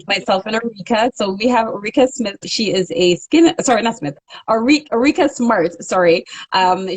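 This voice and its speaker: A woman's voice: mid-range voice, low-quality recording. A woman hosting a casual live-stream chat over a video call, stuffy from a cold and talking in a chatty way with lots of fillers. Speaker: host.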